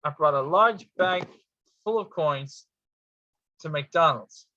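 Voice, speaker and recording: A man performing a comic storytelling monologue with a halting, theatrical delivery. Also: on the high side, bright, forceful tone, dry recording. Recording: dull lo-fi sound.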